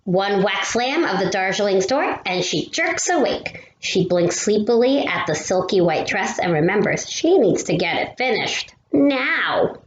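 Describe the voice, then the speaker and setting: Expressive woman storyteller, slow and animated. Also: medium pitch, muffled recording. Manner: animated, drawn-out emphasis. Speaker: read-aloud storyteller. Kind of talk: expressive children's storybook reading. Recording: roomy echo with faint noise.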